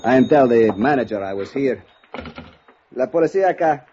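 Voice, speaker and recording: An actor playing a shrewd Mexican police captain who gropes for English words in a Mexican Spanish accent, in 1950s radio drama style. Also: male voice, medium pitch, animated, mellow, natural voice. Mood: earnest, halting. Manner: halting, groping for English words. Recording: dull, noisy old radio recording with clicks.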